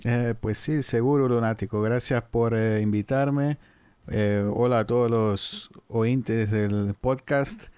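A man from Brazil speaks with a Brazilian Portuguese accent and a smooth, clear voice. He tells his story in a friendly, halting way, with an easy laugh. The sound is dry and close. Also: medium pitch, fairly even intonation, darkish tone, no room sound. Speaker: podcast guest. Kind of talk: casual storytelling in an interview.

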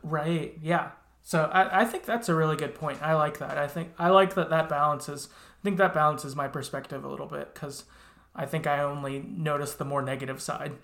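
A woman with a creaky voice chats casually about games. She sounds agreeable and a little tentative. The recording is roomy, with clear echo. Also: sits low, moderate pace, a bit bright. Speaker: podcast co-host discussing video games. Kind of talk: casual chat, game review.

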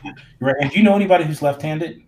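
An animated male professor on a podcast, talking with a fairly high voice. He walks through ideas the way he would in a classroom, posing questions back to the other speaker and sprinkling in casual slang. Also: very fast, a little hiss or hum, rough recording. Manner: animated, explains ideas like a teacher, says "dude" and "man". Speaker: college professor.

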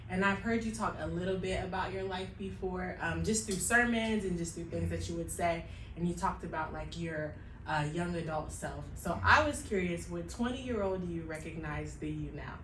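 A woman's voice: lowish voice, moderate pace, fairly bright tone. American woman interviewing in an echoey room. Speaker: interviewer, church social media member. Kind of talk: conversational interview. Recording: echoey, distant microphone, faint noise.

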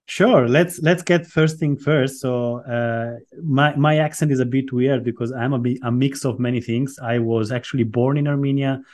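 A man raised in Italy talks through his answers in a loose, conversational way, circling back and restarting phrases while he works out his thoughts. His voice sits at an ordinary middle pitch, with a dry, self-deprecating humor. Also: fairly even intonation, normal speed, hardly any pauses, a little mellow. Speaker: podcast guest, branding strategist. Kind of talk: casual interview answers.

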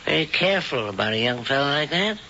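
An actor playing a secretive, gruff old man who is suspicious and commanding, in an old-time radio drama. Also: mid-range voice, animated, quick pace, bright, forceful tone. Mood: wary, protective. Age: older. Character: secretive old coven elder, gruff, protective, suspicious husband. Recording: dull, hissy old radio recording.

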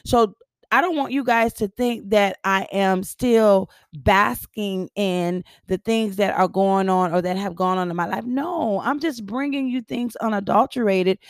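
A hoarse older woman hosting a casual motivational podcast, her voice husky and raspy, chatty with lots of ums and easy laughs. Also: medium pitch, unhurried, no echo, studio quality. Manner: chatty, laughs often, many ums and uhs. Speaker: podcast host. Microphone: podcast microphone.

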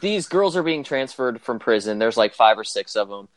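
Mid 20s guy, podcast cohost, relaxed jokey chat, medium pitch, dry room. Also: moderate pace, dry recording, rough recording. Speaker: podcast co-host. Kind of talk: casual chat.

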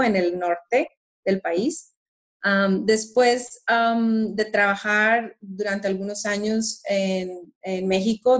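A woman answers interview questions in a friendly, unhurried way. She fills her pauses with soft "am" sounds and often restarts her phrases. Her voice sits at a medium pitch. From Monterrey, Mexico. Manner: hesitant, many "am" fillers and restarts, friendly. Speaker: interview guest.

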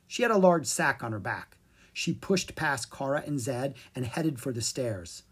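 Low-pitched, husky woman reading a children's story. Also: lively intonation, a little slow. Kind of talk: expressive scripted narration for children.

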